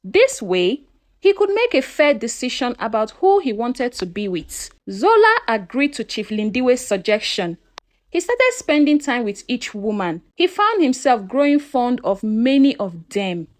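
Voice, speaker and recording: A woman's voice: fairly high pitch, sing-song, unhurried. A woman narrating a scripted folktale in a dramatic storytelling manner, posing questions to her listeners.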